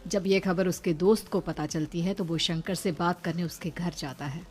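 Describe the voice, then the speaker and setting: A woman's voice: on the low side, fairly even intonation, moderate pace, soft background music. A woman giving dramatic scripted narration for a story.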